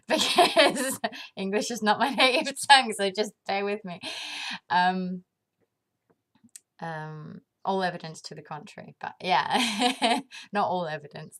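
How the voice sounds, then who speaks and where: Slow, thoughtful woman from Denmark. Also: medium pitch, fairly bright tone. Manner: thoughtful, pauses often with ums, laughs at herself. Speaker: vlogger. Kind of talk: casual reflective monologue to camera.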